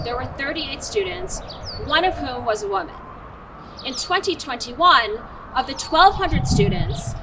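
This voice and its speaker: A woman's voice: high-pitched, noisy background. A woman hosting a show, speaking earnestly and with emphasis, moving from scripted narration to interviewing a guest. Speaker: host and company founder. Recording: distant mic with music behind.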